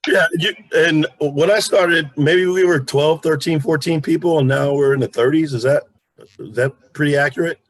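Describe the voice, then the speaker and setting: A middle-aged man with a husky voice, hosting an interview in an informal, self-deprecating, conversational way. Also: fairly high pitch, normal speed, no echo, low-quality recording. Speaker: interview host.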